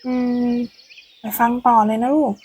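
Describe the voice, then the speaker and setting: A woman playing a gentle, loving mother, tenderly and reflectively telling memories to her daughter in a storybook. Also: unhurried, slight background noise, lightly acted.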